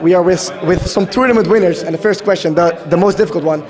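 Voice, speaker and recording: A man hosting a vlog, interviewing people at a busy event with quick casual questions and frequent laughs. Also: high voice. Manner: upbeat, laughs often. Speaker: vlog host interviewing attendees. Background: busy crowd chatter.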